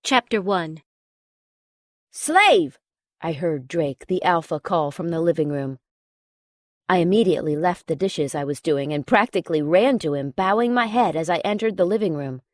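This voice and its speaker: A woman's voice: deep voice, normal speed, a little mellow, dry recording, studio quality. A woman reading a scripted audiobook as narrator, telling a story in the first person.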